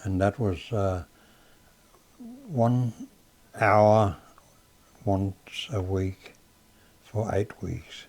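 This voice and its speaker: An older man with a Danish accent, a native Danish speaker, recalling his life in a slow, halting way with many pauses and fillers. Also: on the low side, a little mellow. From Denmark. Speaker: father being interviewed. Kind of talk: casual reminiscing interview.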